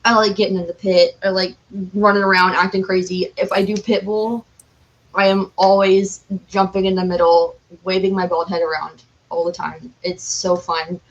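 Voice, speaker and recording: A woman chatting casually as an interview guest, talking in an animated, chatty way with "like" sprinkled through. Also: medium pitch, normal speed, dull audio, slight background noise. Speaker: podcast guest, cosplayer.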